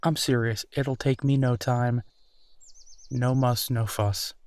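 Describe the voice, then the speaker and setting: A man's voice: mid-range voice, fairly even intonation, takes it slowly, darkish tone, no room sound, lightly acted. A man playing a friendly, easygoing werewolf in a roleplay audio drama, talking casually and reassuringly with small hesitations. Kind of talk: roleplay audio drama, natural one-sided conversation.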